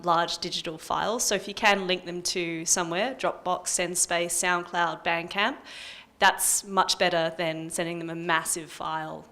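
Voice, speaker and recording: A woman's voice: on the low side, sharp tone. A woman, a radio presenter giving casual advice on a workshop panel. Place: live panel workshop indoors.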